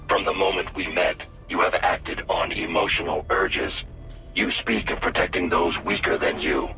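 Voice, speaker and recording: Deep, logical robot. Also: male voice, poor audio. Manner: formal, flat, analytical. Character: AI combat robot, male-voiced, coolly logical. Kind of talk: game cutscene acting.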